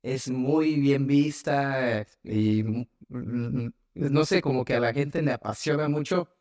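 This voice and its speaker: A Mexican man hosts a podcast in a casual, chatty style. His voice has a middle pitch. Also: slow, darkish tone. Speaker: podcast host. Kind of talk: casual chat.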